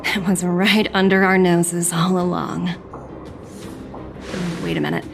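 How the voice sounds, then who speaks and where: A confident young female corporate agent, played by an actress, in a video game. She takes her time, composed and wry, in a mid-pitched voice with faint music behind. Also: close to an everyday voice. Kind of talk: video game cutscene acting.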